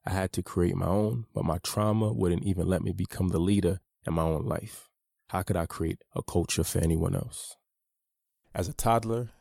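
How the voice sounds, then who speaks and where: Low, gravelly man reading his memoir. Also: dark tone, no echo, studio quality. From United States. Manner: measured, earnest, personal. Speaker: author narrating his own audiobook. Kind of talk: reflective memoir narration.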